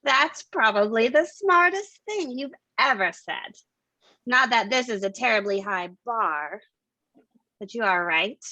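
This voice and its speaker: A woman playing a scornful, commanding femme fatale villain with a sardonic, deliberate delivery, in a noir staged reading with slightly dull sound. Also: on the high side, animated, bright, forceful tone, dry recording, full character voice. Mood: mocking.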